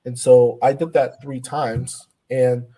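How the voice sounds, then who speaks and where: A man's voice: medium pitch, level tone, takes it slowly, mellow, breathy edge, rough recording. A man in his twenties, a powerlifter guest on a podcast, talking earnestly with many fillers and restarts. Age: 20s. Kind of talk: casual podcast interview.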